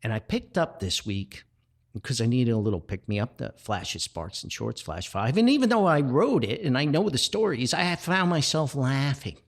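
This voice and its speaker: An older man speaks as a podcast host at a middling pitch that swings up and down freely. He rambles through personal reflections with earnest emphasis. His delivery is halting, with repeated words and backtracking. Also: sing-song.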